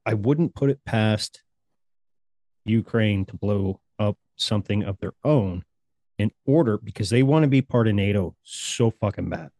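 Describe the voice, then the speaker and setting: A man's voice: lowish voice, a little slow, dark tone, no room sound. A man co-hosting a podcast in casual chat, talking in a heated, sarcastic, profane way. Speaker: podcast co-host.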